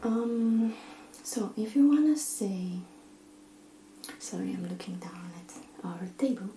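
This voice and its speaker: A woman teaching simple phrases in a soft, often whispered, encouraging way while seated at a table indoors. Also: mid-range voice, expressive, slow pace, reverberant, slight background noise. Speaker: language teacher.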